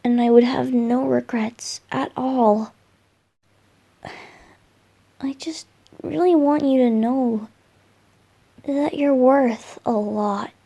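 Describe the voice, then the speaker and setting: A gentle, boyish young spirit in love, played by a woman, with a high, mellow voice. He murmurs softly and hesitantly, in the intimate style of a romantic ASMR roleplay. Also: exaggerated voice. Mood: tender, adoring. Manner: soft, tender, breathy murmurs with hesitant pauses.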